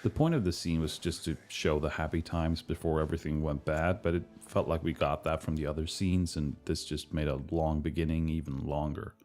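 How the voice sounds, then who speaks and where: Very deep-voiced man giving film commentary. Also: fairly even intonation, a little fast, hardly any pauses, darkish tone, faint music behind. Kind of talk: director's commentary, explanatory.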